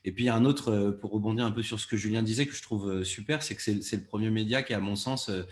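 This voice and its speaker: A man with a fairly low voice speaks as a producer on a panel. He searches for his phrasing, often doubling back on words as he explains. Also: fairly even intonation, fast talker. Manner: hesitant, repeats words while formulating. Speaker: podcast producer, panel guest. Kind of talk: panel discussion.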